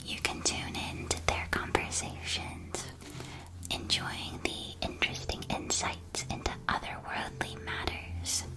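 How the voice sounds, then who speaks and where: Woman playing a slow whispering witch-world candy shopkeeper. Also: close to an everyday voice, poor audio. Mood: gentle, helpful. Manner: gentle, unhurried, helpful sales patter. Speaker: ASMR roleplay performer. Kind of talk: whispered ASMR roleplay.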